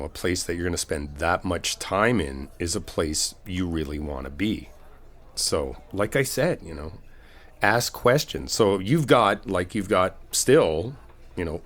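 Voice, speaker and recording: Male, low-pitched and lively in intonation. Laid-back best friend played by a man for comfort audio roleplay, informal, supportive, rambling advice. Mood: encouraging, reassuring. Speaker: ASMR roleplay performer. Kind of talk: casual conversational comfort ASMR roleplay.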